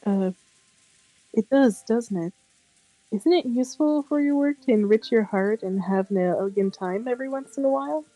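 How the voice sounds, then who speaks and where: Poised, persuasive wife, voiced by a man, falsetto, mellow, dry mic. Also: normal speed, dry recording, low-quality recording. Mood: coaxing. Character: poised, elegant wife coaxing her husband. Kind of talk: fan livedub of a visual novel.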